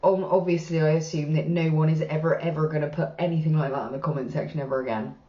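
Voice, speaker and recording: A frank, chatty woman in her thirties with a Bristolian accent talks through her thoughts on a show, swearing now and then. Her voice sits fairly low. The room around her rings a little, and the sound is slightly dull. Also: steady tone, quick pace, dark tone, reverberant, slight background noise. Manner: chatty and frank, casual swearing, gestures while talking. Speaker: reaction channel host. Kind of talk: casual reaction commentary. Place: small plain room indoors.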